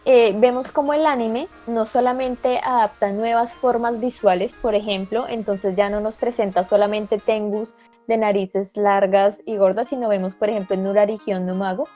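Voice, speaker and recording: A woman with a Colombian accent, co-hosting an anime show and presenting a segment in a lively, playful way. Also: mid-range voice, moderate pace, dull audio, faint music behind. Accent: Colombian Spanish. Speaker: co-host of anime talk show.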